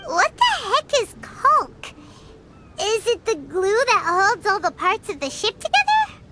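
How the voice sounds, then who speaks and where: A woman's voice: very high-pitched, sing-song, measured pace, over loud music, heavily acted. A woman voicing a tiny, childlike fairy companion in a video game, cheeky and curious, with a perky, cutesy way of talking. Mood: puzzled. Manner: perky, cutesy, speaks of herself by name. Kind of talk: video game character acting.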